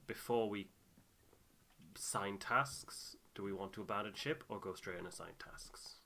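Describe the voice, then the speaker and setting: A man's voice: lowish voice, measured pace, creaky, sharp tone. A man giving casual playthrough commentary as co-host of a gaming video. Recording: background noise, keyboard and mouse clicks.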